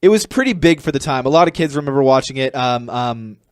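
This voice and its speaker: A man with an American accent co-hosting a podcast in a casual, rambling, halting way. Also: medium pitch, animated, dry recording, clean studio sound. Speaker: podcast co-host. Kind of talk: casual chat.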